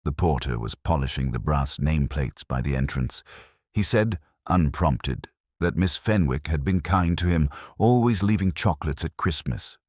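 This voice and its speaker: A man acting as a brooding police inspector with an extremely low voice. He narrates his case in measured, literary phrases with expressive rises and falls. The recording is dry and close, like an audio detective drama. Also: no echo. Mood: pensive, measured. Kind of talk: first-person scripted detective narration.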